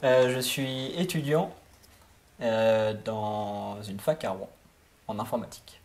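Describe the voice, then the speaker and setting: A man's voice: lowish voice, steady tone, takes it slowly, a little mellow. Hesitant young man in his early twenties. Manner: hesitant, many filler sounds, friendly. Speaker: camp counselor being interviewed. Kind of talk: casual interview answers.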